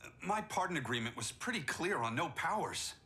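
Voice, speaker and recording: An actor playing an earnest, remorseful retired superhero in a video game, sincere and pleading in manner. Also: male voice, a little high, sing-song, brisk, sharp tone. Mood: reluctant.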